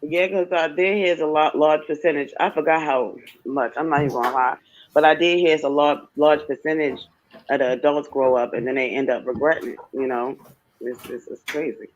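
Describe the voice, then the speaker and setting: A man's voice: a little high, fairly even intonation, brisk, lo-fi sound, slight background noise. A man chatting casually on a panel in a laid-back way, often laughing as he talks. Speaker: podcast panelist. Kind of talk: casual chat.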